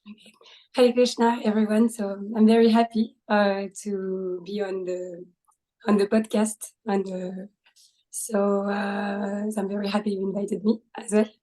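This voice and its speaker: A woman's voice: medium pitch, flat delivery, measured pace, warm tone, poor audio. A woman in her twenties from France with a French accent, a podcast guest speaking hesitantly with many fillers and small laughs. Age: 20s. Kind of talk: casual interview conversation.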